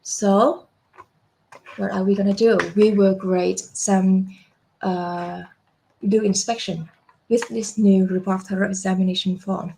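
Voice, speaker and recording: A young adult woman walking through a software demo step by step, unhurried, with frequent fillers and self-corrections. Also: mid-range voice, steady tone, gravelly, slight background noise, poor audio. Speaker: software product presenter. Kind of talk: screen-share software demo walkthrough.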